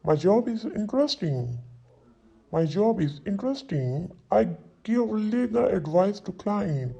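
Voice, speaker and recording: A man in his twenties voicing a young London solicitor who introduces himself, saying each sentence twice slowly for learners to repeat. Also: high-pitched, big pitch swings, gravelly, dark tone, breathy edge, muffled recording, exaggerated voice. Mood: plain. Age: 20s. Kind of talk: slow repeat-after-me drill reading.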